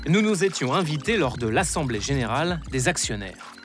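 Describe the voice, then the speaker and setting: A man's voice: on the high side, big pitch swings, fairly quick, over music. A man narrating an investigative documentary in a scripted, wry voice-over. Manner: wry, ironic.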